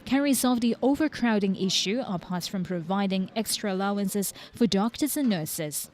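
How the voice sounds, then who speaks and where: A female reporter voices a scripted news package. Her pitch is medium and her phrasing follows broadcast report style. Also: normal speed, darkish tone.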